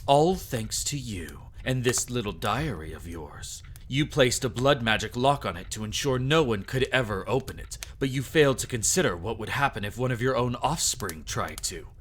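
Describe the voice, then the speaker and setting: A man's voice: medium pitch, expressive, normal speed, hardly any pauses, fairly bright tone, a little hiss or hum, close to an everyday voice. A man playing an aristocratic vampire son in an audio drama, with pompous, sardonic diction and a mocking, theatrical manner. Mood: smugly accusing. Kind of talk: scripted audio drama acting.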